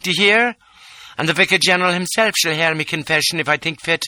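A man voicing a haughty, furious woman who is a royal favourite, with a rich, husky, contemptuous voice. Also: sits high, lively intonation, normal speed, pushed and bright, dry recording, voice pushed up, heavily acted. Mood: imperious. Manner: contemptuous, imperious.

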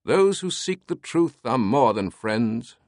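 A man voicing a theatrical, playful British aristocrat in an audiobook, with a crisp, light voice and a mock-grand British accent. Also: mid-range voice, very animated, slow, a little mellow, no echo, clean studio sound, voice pushed up. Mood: amused, grand. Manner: pompous, dramatic, mock-solemn.

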